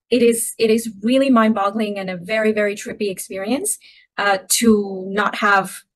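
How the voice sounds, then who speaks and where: A young woman from Myanmar who grew up in Thailand, talking through her own story as a podcast guest. She is reflective and circles back on her phrases. Her voice sits at a middling pitch. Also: low-quality recording. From Myanmar (Rohingya from Arakan), raised in Thailand. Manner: reflective, many restarts and "you know". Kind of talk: personal storytelling in an interview.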